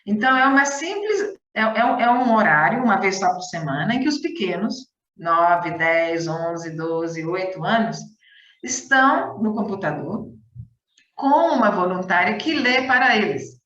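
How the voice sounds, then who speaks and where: A woman's voice: mid-range voice, sing-song, measured pace, fairly bright tone, reverberant. Woman, conference talk on a video call, chatty with many restarts, distant from the mic, dull muffled audio. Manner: chatty, repeats words for emphasis, frequent restarts. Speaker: conference speaker, volunteer teaching coordinator.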